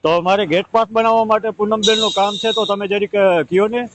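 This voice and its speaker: A man's voice: high-pitched, steady tone, a little fast, noisy background. A man with a Saurashtra Gujarati accent pleading insistently and repeating his request on a recorded phone call. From Gujarat, India. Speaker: caller seeking help.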